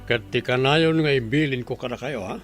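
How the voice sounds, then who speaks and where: A man's voice: mid-range voice, brisk, music in the background, natural voice. A man voicing a greedy uncle who leads a kidnapping, giving orders in a gloating, sinister way in a radio drama. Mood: scheming.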